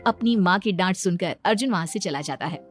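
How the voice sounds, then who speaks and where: A woman's voice: fairly low pitch, lively intonation, a little fast, faint music behind. A woman narrator reading a scripted story in a storytelling style.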